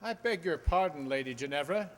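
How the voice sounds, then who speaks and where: A man playing a shrewd, courteous gentleman detective with a wry manner in an amateur radio play. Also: fairly high pitch, lively intonation, brisk, lightly acted. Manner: polite, wry, knowing. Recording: reverberant hall-like radio play recording.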